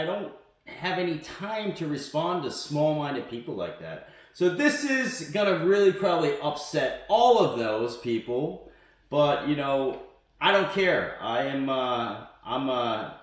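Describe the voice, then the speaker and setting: A man's voice: mid-range voice, far from the mic. A man born in South Korea and raised in the United States, hosting his own wrestling channel. He gives opinionated solo commentary, blunt and defiant, with plenty of "um" and "you know". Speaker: wrestling channel host and coach. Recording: echoey room, voice off-mic.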